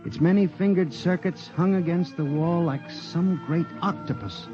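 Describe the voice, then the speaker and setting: A man's voice: fairly high pitch, normal speed, lightly acted. Man acting anxious clerk in vintage radio drama. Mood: haunted, uneasy. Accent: American. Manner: intense, dramatic, earnest. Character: anxious, earnest statistician clerk, unhappy and haunted. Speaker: actor playing narrator and lead. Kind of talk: 1950s radio drama acting, first-person narration. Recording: dull 1950s radio recording, music behind.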